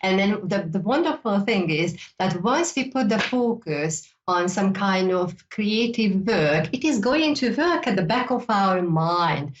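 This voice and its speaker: A woman with a Hungarian accent, native speaker of Hungarian, hosting a psychic show and teaching in a loose, rambling way, often repeating words and adding "you know". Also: fairly low pitch. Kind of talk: casual teaching talk. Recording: dull lo-fi sound.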